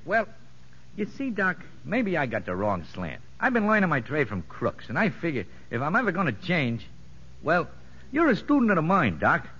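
A sly convict safecracker from a vintage radio play. His voice is sharp and very animated, full of street slang and feigned innocence. The recording is dull and aged. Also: fairly high pitch, normal speed, husky, heavily acted. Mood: sly, cocky. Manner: streetwise slangy talk, mock-earnest. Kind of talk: 1950s radio drama acting. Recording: muffled vintage radio broadcast.

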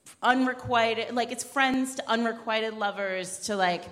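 A woman's voice: fairly high pitch, unhurried, fairly bright tone. A woman chatting casually and emphatically about books as a podcast co-host at a live event, gushing as she goes. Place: live event room with audience. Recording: echoey hall.

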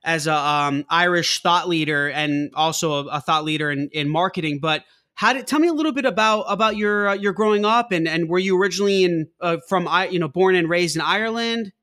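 A man with a notably high voice hosts a business interview show. His questions come out halting, with many restarts and filler words. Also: pushed and bright. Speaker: podcast host. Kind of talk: podcast interview.

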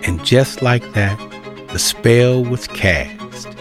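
A man giving dramatic, deliberate scripted narration as the storyteller of an audio drama. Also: mid-range voice. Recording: string music clearly behind the voice.

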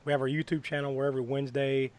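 A man hosting a horror movie podcast, hoarse from bronchitis, talking in a rambling way with many fillers and restarts. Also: mid-range voice, level tone, racing, a little mellow. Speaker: podcast host. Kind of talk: casual podcast chat.

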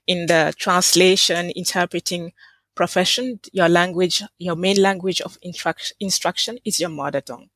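A French-speaking woman from Senegal and Côte d'Ivoire, an interpreter being interviewed, speaking in a measured, thoughtful way with many hesitant pauses. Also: lowish voice, steady tone, a bit bright, dry recording. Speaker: translator and interpreter, guest. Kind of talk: interview conversation.

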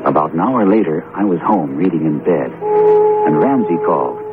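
A rational, wry academic in a vintage radio mystery. His very low voice is measured and dryly amused. It comes through a narrow, muffled old broadcast. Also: animated, over loud music. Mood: dry, matter-of-fact. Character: wry, rational male academic friend and narrator. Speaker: radio drama actor. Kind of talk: 1970s radio drama acting and narration.

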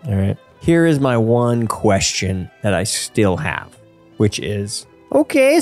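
A man hosts a casual podcast with a lively, swooping delivery. He sounds playful and jokey, talking loosely about game lore, with faint music underneath. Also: medium pitch. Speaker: podcast host.

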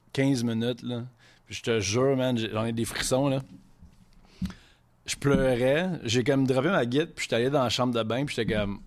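A man from Quebec City with a Quebec French accent rambles through a casual podcast chat. His voice sits at an ordinary middle pitch. He mixes English into his French and swears often as he talks. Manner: rambling franglais with frequent swearing. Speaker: guest chef.

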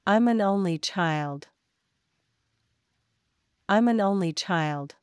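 A woman reading simple example sentences for learners, slowly and deliberately, saying each one twice. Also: medium pitch, lively intonation, clean tone. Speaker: English teacher.

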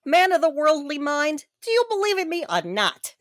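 A woman's voice: high-pitched, sing-song, normal speed, no room sound, clean studio sound. A woman voicing the doomed, chained ghost of an old businessman in a comic reading, solemn in tone but cursing at itself. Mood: stern.

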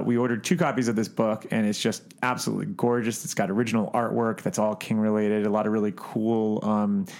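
A man co-hosting a podcast in casual chat, with a halting, rambling way of talking. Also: fairly low pitch, vocal fry, a little mellow. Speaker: podcast co-host. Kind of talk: casual chat about books, films and collectibles.

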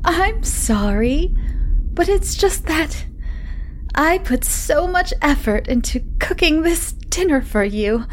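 A woman voicing a creepy, unstable housewife who speaks in a sweet, drawn-out way. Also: high-pitched, animated, a little hiss or hum, voice pushed up. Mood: sugary. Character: eerie smiling housewife, sugary and unstable.

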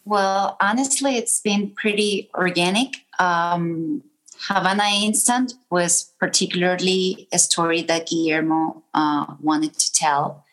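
Woman, from Venezuela, low voice, animated storyteller. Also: measured pace, rough recording. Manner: animated storyteller, acts out quoted people. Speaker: film producer, guest. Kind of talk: casual interview storytelling.

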